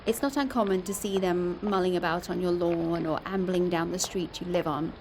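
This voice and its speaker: Female actor as investigative podcast reporter: lower register, conversational, candid, dry first-person storytelling. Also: steady tone, moderate pace, background noise, close to an everyday voice. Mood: reflective. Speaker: reporter and narrator.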